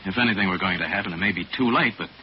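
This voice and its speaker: An actor playing a calm, educated amateur sleuth with quiet authority and measured, formal speech in a 1940s radio drama. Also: male voice, deep voice, natural voice. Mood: measured, thoughtful. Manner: measured, formal, quotes proverbs. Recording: noisy, dull old 1940s radio recording.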